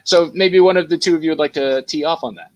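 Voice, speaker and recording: A man's voice: on the high side, fast talker, dry recording. A man casually hosting a panel discussion in a conversational, informal way. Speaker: panel host.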